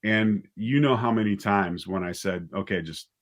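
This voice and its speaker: A man with a fairly low voice in a relaxed interview setting. He talks as a writer and director, feeling his way through thoughts in a hesitant, conversational manner. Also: moderate pace. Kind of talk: casual interview talk.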